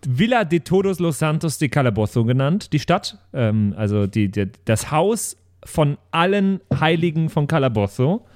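Man, lively swooping intonation, fairly high voice, casual podcast chat, playful, curious. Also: normal speed, hardly any pauses. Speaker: player and co-host of a tabletop role-play podcast.

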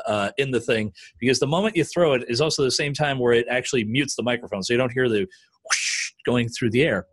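A man hosts a relaxed discussion with a close, dry sound and a middling pitch. He talks conversationally and animatedly, slipping into little acted-out quotes as he explains things. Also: no echo. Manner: animated storyteller, acting out quotes. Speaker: podcast host leading a panel discussion. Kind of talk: casual conversational talk.

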